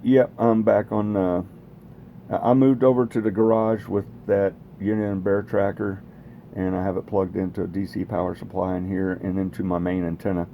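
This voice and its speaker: A man's voice: deep voice, level tone, normal speed, vocal fry, highs cut off, slight background noise. A man casually demonstrating radio equipment in a rambling, plainspoken way, recorded in a home garage. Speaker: radio hobbyist presenting own equipment.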